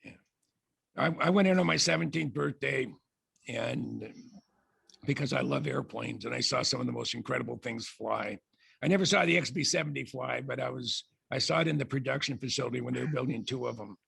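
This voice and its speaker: An older man with a raspy, gravelly voice, telling long anecdotes as a guest speaker in a halting way full of restarts. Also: mid-range voice, a bit bright, no echo. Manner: halting, many restarts and fillers. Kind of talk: rambling anecdotal presentation.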